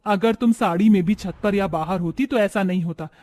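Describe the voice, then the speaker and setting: A man's voice: sits high, a little fast, darkish tone, lightly acted. A man voicing a stern older village mother-in-law in a story, scolding in an exasperated, reproachful way.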